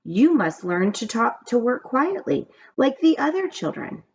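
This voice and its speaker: A woman's voice: a little high, sing-song, a little slow, lo-fi sound, pitched above the performer's own voice. A woman voicing a stern female schoolteacher in a storybook reading, firm and admonishing. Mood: scolding.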